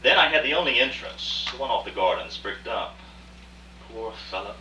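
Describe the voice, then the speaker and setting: Man acting bitter theatrical gentleman, sharp and forceful. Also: on the low side, expressive, moderate pace. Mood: vindictive. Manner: theatrical, formal, swinging into outbursts. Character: bitter, vengeful, obsessive art-collecting gentleman, theatrical storyteller. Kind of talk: live radio drama acting. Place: indoor rehearsal of a live radio play. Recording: roomy, distant mic, hum and hiss.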